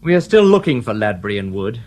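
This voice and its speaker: A man's voice: on the low side, sing-song, fast talker, darkish tone. An actor playing a firm, sardonic male detective inspector in a radio drama.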